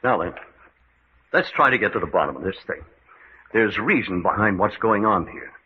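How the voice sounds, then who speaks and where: A man acting a steady, reasoning adventurer in a radio mystery, reassuring and commanding in an emphatic way. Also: fairly low pitch, moderate pace, breathy edge. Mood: firm, reassuring. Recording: dull, noisy old-style radio recording.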